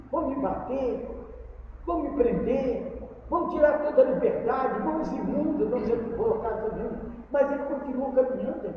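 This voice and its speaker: A Brazilian man preaches emphatically, repeating key phrases. His voice is pitched extremely high. It rings through a very echoey hall and sounds dull, as if captured by a lo-fi microphone. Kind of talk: sermon to a congregation. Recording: echoey hall from far off, dull, faint noise.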